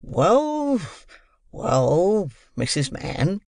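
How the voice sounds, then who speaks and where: A man plays a fat, hot-tempered beadle who is full of his own importance and lectures everyone he meets. The voice is gravelly and heavy, and his pitch rises and falls a lot. He speaks slowly and pompously. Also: very animated, no echo, clean studio sound, full character voice. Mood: mollified. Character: pompous, choleric, fat middle-aged male beadle.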